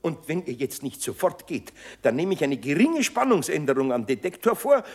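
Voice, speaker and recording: An actor playing a gruff but good-natured older master craftsman with a Bavarian accent and a husky voice, in a children's radio play. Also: on the high side, big pitch swings, moderate pace. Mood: mock-solemn bluffing. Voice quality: gravelly, husky.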